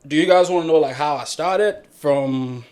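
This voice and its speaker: A man's voice: fairly high pitch, normal speed, darkish tone, clean tone. A young man from Ghana, a musician and guest on a podcast, talking in a laid-back, easygoing way and laughing often. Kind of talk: casual podcast chat.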